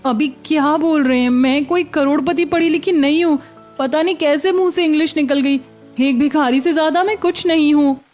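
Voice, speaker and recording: A woman acts out a young daughter-in-law from a Hindi cartoon story. Her voice is high and expressive, fretful yet cheeky. Music plays behind her. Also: normal speed, natural voice. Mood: bewildered, humble. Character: young amnesiac daughter-in-law, former beggar, distressed but sharp-tongued.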